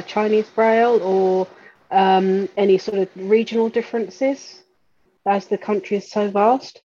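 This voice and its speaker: Brief: woman caller on a webinar, mellow, muffled line. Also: medium pitch. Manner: hesitant, many fillers. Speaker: audience questioner.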